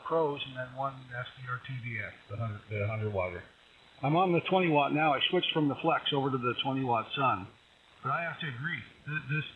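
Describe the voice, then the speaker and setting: A man talking casually as a ham operator, heard over a radio transmission. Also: medium pitch. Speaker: amateur radio operator calling in. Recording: narrow, very noisy shortwave radio signal.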